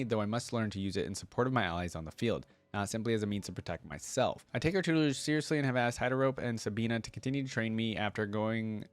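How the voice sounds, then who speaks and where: A man's voice: fairly low pitch, dry recording. A man hosting a reaction video, reading story text aloud and commenting casually. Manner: informal, thinking aloud.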